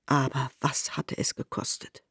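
An actress as a grave storyteller, relating a biblical tale with deliberate literary delivery in a radio drama. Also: female voice, fairly bright tone, dry recording, studio quality, lightly acted. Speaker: narrator.

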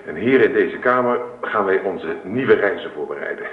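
An actor playing an eager young Dutch husband in a radio play, stubborn, dreamy and tender. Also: male voice, deep voice, animated, normal speed. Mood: eager, proud. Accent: Netherlands Dutch. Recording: roomy, tinny phone-like radio recording.

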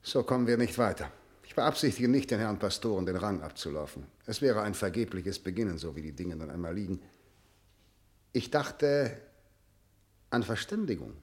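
Stern prosecutor, played by a male actor, deep register, cold official diction, condescending, 1960s radio drama. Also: expressive, moderate pace, natural voice. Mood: impatient, controlled. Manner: formal, clipped, condescending. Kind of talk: 1960 radio drama acting.

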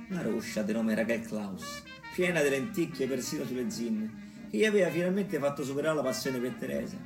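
A man's voice: mid-range voice, lightly acted. Guy acting as roman storyteller for a bawdy radio play, kinda far from the mic, music behind. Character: colloquial male storyteller of a bawdy tragicomic tale. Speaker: narrator. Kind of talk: theatrical storytelling in colloquial dialect. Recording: roomy distant voice over clear music.

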